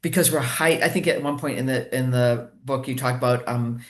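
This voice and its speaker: A man interviewing an author, asking long, earnest questions with many pauses and restarts. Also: medium pitch, a bit bright.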